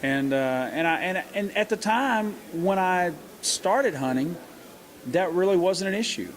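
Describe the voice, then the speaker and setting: Earnest middle-aged man, fairly high voice. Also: animated. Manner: earnest, halting with many restarts. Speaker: country singer and hunting show host. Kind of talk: casual interview answers.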